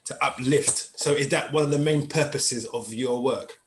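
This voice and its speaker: A man hosting a spiritual conversation show, asking questions haltingly with many fillers and restarts. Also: medium pitch. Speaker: podcast host. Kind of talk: interview hosting.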